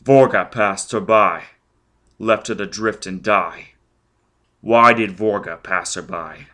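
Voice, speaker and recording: A man's voice: lowish voice, slow pace, fairly bright tone. A man voicing a ruthless, vengeful man who barks demands and threats. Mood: hard questioning. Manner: menacing, barking demands. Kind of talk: audiobook character voice.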